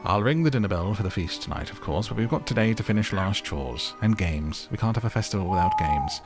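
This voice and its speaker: A man voicing a cheery, busy woodland animal in a video game, with a brisk, encouraging manner. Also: fairly low pitch, animated, loud music behind the voice.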